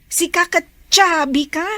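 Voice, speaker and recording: A woman playing a vain, giggly female student in a Filipino radio drama, with theatrical, drawn-out delivery. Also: very high-pitched, pushed and bright, no echo, heavily acted. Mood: catty, giggly.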